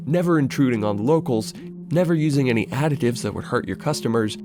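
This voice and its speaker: A man narrating a scripted video essay in a conversational, sardonic and emphatic way, with casual asides and swearing. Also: mid-range voice.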